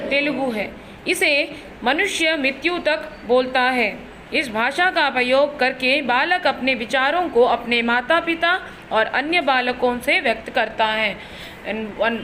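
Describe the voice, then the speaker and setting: A woman's voice: sits high, bright, forceful tone, a little hiss or hum, low-quality recording. A woman with an Indian accent teaching a lesson in a didactic way, repeating and rephrasing her points and posing questions as she explains. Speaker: Hindi teacher. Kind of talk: bilingual classroom lesson explanation.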